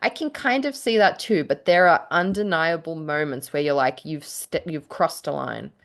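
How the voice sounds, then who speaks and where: A woman with a slightly low voice talks casually as one writer to another. She tells her stories effusively and with animation, and swears lightly as she goes. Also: normal speed, creaky, a bit bright. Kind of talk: casual chat between writers.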